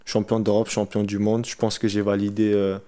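A man's voice: on the low side, completely flat delivery, fairly quick, dark tone. Young man, colloquial and emphatic. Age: 18 years old. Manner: colloquial, repeats phrases for emphasis. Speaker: athlete interviewee, wrestler. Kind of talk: casual chat.

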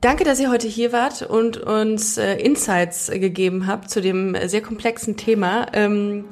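A woman's voice: medium pitch, unhurried, soft background music. A young adult woman hosting a podcast, chatty and rambling, often restarting sentences. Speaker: podcast host. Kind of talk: casual podcast chat.

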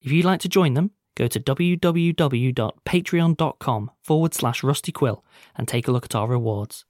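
A man's voice: mid-range voice, normal speed, a little mellow, no room sound. Male podcast host, scripted announcements, close dry recording.

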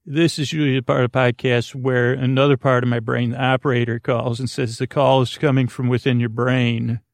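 A man in his forties with a creaky voice, hosting a sleep podcast in a halting, lulling, meandering bedtime monologue full of tangents. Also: mid-range voice, level tone, dry recording. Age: 40s. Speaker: podcast host. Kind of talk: rambling bedtime monologue to help listeners sleep.

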